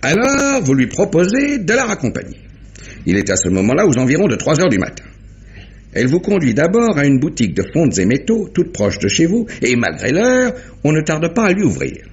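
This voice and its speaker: An actor playing a genial, methodical police inspector, recapping deliberately in a theatrical mid-century French radio mystery manner. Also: male voice, a little high, wildly swooping pitch. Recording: dull vintage radio drama recording.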